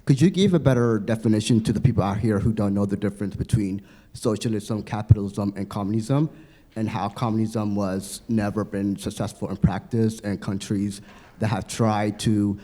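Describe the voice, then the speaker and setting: A man's voice: fairly low pitch, normal speed, warm tone. A man from the audience asking a question in a casual, hesitant way.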